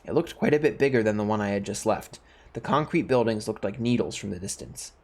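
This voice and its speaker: A man's voice: on the low side, fairly quick. A man who hosts gaming videos, reading story text aloud and commenting casually.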